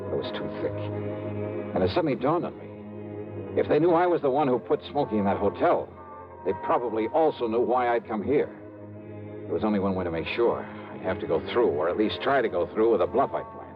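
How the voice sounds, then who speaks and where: An actor playing a hardboiled insurance investigator, narrating wryly in first person in a 1950s radio drama, on a dull old broadcast with music. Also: male voice, lowish voice, animated, a little fast, natural voice. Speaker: lead and narrator.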